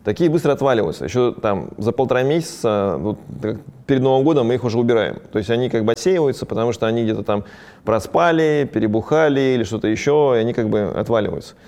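A man talks rapidly and casually, as though answering an interviewer's questions. His voice is dark and mellow, and his speech is full of small fillers and restarts. He sounds like a business owner describing his own operation. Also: medium pitch, animated. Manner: fast, conversational, many fillers. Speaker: ski resort business owner.

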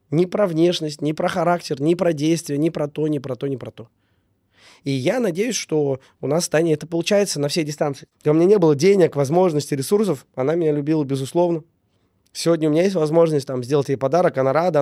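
A man's voice: on the high side, warm tone, no echo, studio quality. A man getting over a cold, talking earnestly in a casual interview and repeating key words with many fillers. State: recovering from a cold. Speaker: business owner, guest.

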